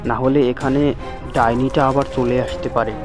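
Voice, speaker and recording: A man's voice: mid-range voice, flat delivery, moderate pace, music in the background, lightly acted. Cartoon casting: man acting a worried, principled young village fellow in a mid-range male voice, protesting anxiously. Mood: uneasy, protesting. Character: timid, honest young village man, the schemer's friend. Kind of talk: cartoon dialogue.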